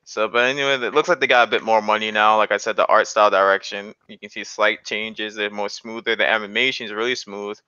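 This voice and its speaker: A man's voice: fairly low pitch, fairly even intonation, fast, hardly any pauses, pushed and bright, smooth, no room sound, highs cut off. A man hosting a podcast and chatting casually and informally about anime, giving his opinions. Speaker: podcast host.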